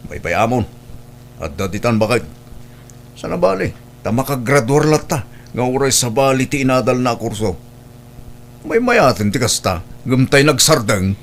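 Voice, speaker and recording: A man's voice: medium pitch, very animated, moderate pace, pauses often. A man with an Ilocano Filipino accent playing a proud, easily disappointed father in a family audio drama. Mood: resigned. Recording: clear background noise with hum.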